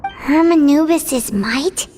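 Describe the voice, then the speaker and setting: A woman's voice: high-pitched, slow, darkish tone, soft background music. A woman voicing a small, childlike companion in a video game, concerned and full of wonder. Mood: puzzled.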